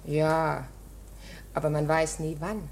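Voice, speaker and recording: A court usher's wife in a radio play, chatty and insinuating. Her lowish voice coaxes in a colloquial way, over faint noise. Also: flat delivery, takes it slowly, gravelly, a little mellow, exaggerated voice. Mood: chatty, matter-of-fact. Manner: colloquial, coaxing. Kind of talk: radio drama acting.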